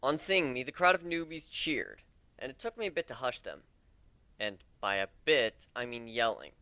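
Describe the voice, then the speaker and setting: A man's voice: mid-range voice, animated, moderate pace, pushed and bright, no echo. A man giving an amateur dramatic reading of a first-person story.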